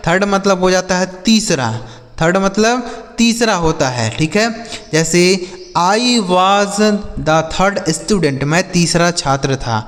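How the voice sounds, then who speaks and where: A man's voice: high voice, lively intonation, slow, a bit bright, in a large hall. A man teaching English vocabulary, spelling each word letter by letter and repeating its meaning several times. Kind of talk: slow spelled-out vocabulary lesson.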